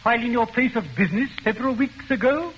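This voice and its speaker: A brisk, formal prosecutor pressing a witness, his voice unusually high and pointed, with faint hiss behind it. The recording is muffled and old. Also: fairly bright tone. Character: eager male prosecuting counsel. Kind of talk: 1940s radio acting.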